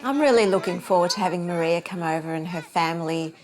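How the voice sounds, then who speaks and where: A woman's voice: on the low side, quick pace, a little hiss or hum, low-quality recording. A middle-aged woman with an Australian accent, telling family stories in a personal documentary interview. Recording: music bed behind the voice.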